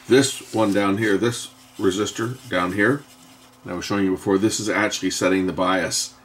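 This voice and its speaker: A man giving an unscripted, hands-on technical explanation in a halting way, often pausing and repeating himself to correct what he says. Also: sits low, steady tone, fairly bright tone, slight background noise. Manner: halting, self-correcting, with frequent pauses and repeats. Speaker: host of an electronics repair channel.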